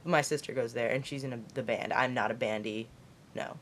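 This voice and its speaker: A teenage girl from Kentucky with a deep voice for a girl, rambling chattily in a late-night vlog from her basement. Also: steady tone, a little fast, slight background noise. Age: teens. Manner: chatty, rambling, lots of fillers and put-on voices. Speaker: vlogger.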